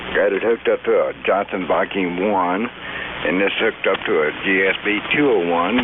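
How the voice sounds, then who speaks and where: A man from Texas checks into a ham radio net and lists his station gear in a brisk, plain way. His voice is very low. A thin radio line with steady hiss carries it. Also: narrow, tinny audio.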